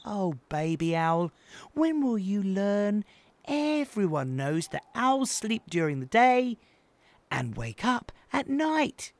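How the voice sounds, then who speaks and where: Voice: mid-range voice, sing-song, slow pace, no echo, pitched above the performer's own voice. A storyteller voicing a bossy young brother owl who lectures in an exasperated, insistent way for a children's story. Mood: condescending, exasperated.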